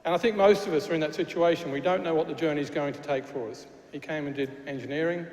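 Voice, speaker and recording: A man's voice: on the high side, fast talker, a little mellow. A Scottish man with a Glasgow accent addresses graduates from a lectern, in a chatty, informal way, stumbling and restarting as he goes. His voice rings around a large church with a long, echoing reverb. A faint hiss sits under the recording. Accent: Glaswegian Scottish. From Scotland. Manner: chatty with frequent restarts and fillers, jokey asides. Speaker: university official addressing graduates. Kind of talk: ceremony speech. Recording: echoey hall with faint noise.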